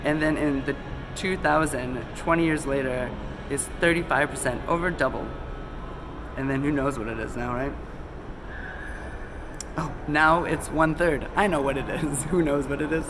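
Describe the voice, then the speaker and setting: A man's voice: a little high, normal speed, pauses often, noisy background. A man hosting a conspiracy video, talking casually with lots of ums, a little sick and losing his voice. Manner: loose, chatty, many ums and self-corrections. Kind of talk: casual rambling talk to camera. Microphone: phone camera.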